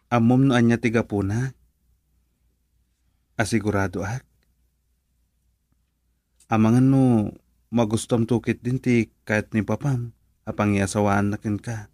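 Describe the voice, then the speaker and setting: A man's voice: medium pitch, a little slow, long pauses, warm tone, no echo, close to an everyday voice. Man acting earnest lovestruck young Filipino man, close dry sound. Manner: hesitant, pleading. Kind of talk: romantic radio drama acting.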